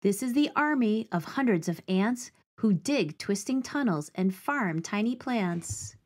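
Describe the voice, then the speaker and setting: A woman in her forties reads aloud for young children in a medium-pitched voice. Her delivery is deliberate and expressive, with the phrasing of a storybook reading led by a teaching artist.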